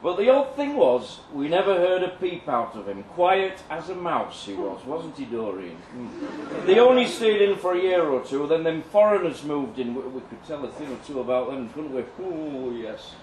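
Nosy, grumbling male neighbour played by a male reader, higher-set voice, gossipy, echoey live recording. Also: very animated, normal speed, a loud crowd. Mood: grumbly, gossipy. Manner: nosy, seeks agreement from his wife. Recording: distant echoey live venue, background noise.

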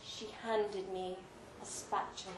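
A woman reading a literary story aloud to a live event, theatrical and deliberate, with dramatic pauses. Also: medium pitch, little pitch movement. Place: indoor live reading in a pub venue. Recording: roomy live venue, faint background noise.

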